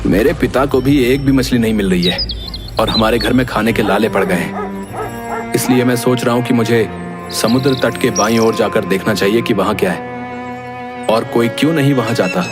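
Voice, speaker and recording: A man's voice: medium pitch, normal speed, over music, lightly acted. Man playing a bold, stubborn village boy. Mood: troubled, resolute.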